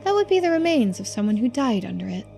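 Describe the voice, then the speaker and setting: A woman acts out a genteel housewife who guards occult secrets in a story game. Her voice is high and lilting, her words formal and quietly persuasive, with clear music behind her. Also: very animated, quick pace. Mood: knowing. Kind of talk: video game dialogue read aloud.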